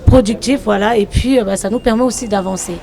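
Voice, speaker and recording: A woman actress answering interview questions in a reflective, hesitant way with many pauses. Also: mid-range voice, vocal fry, dark tone. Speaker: actress and comedian.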